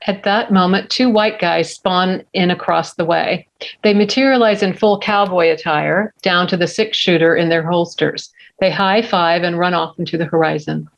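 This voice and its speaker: A woman's voice: on the low side, steady tone, normal speed, vocal fry. A woman reading scene directions in scripted narration at a table read. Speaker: stage direction reader. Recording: slightly dull lo-fi audio.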